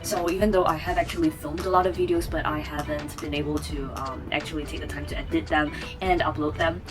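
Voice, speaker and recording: Woman, twenties, low-pitched, rambling, filler-heavy, music bed behind. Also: steady tone, fast talker. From Taiwan. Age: 20s. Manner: chatty, rambling, many fillers and restarts, laughs often. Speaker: vlogger. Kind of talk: casual chat to camera.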